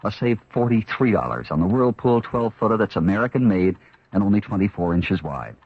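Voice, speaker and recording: A man delivering a 1970s radio advertising pitch with urgent salesmanship. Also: lively intonation, fairly quick, husky. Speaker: commercial announcer. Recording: dull 1970s radio recording.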